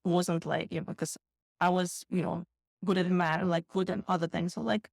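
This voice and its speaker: A woman's voice: lowish voice, steady tone, normal speed, creaky, a little mellow, no echo, studio quality. A woman from Kazakhstan, a guest entrepreneur chatting loosely in an interview, full of "like" fillers. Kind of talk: casual interview chat.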